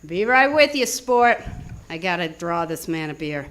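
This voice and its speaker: An actor playing a chatty, eager-to-please, easily flustered bartender in a 1940s radio drama. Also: male voice, high voice, very animated, measured pace, fairly bright tone, a little hiss or hum, heavily acted. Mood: grumbling. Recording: live theater stage, music underneath.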